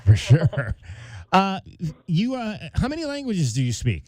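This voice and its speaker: A man's voice: high voice, wildly swooping pitch, a little slow, over a noisy crowd. A man hosting a sports talk radio show, interviewing a guest in a friendly way with many restarts. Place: radio studio. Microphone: studio mic.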